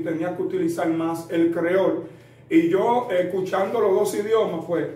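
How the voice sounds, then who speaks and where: Man, 30s, Dominican accent, preacher guest, earnest storytelling, slightly high voice, distant mic, roomy echo. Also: darkish tone. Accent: Dominican Spanish. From Dominican Republic. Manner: earnest, addresses listener as usted, frequent fillers. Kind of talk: storytelling interview answers.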